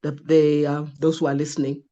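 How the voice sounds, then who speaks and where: A woman's voice: low-pitched, monotone, a little fast, dark tone, muffled recording. A woman moderating an online class, speaking in a directive, instructive way as a lecturer. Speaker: lecturer moderating student presentations.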